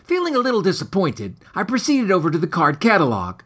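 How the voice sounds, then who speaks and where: A man narrates a comic horror tale with mock-serious formality. His voice is slightly high and husky, and it moves up and down theatrically as he reads. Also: huge pitch swings, a little fast, fairly bright tone. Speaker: author reading his own short story, podcast host. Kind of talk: scripted dramatic narration of a comic horror story.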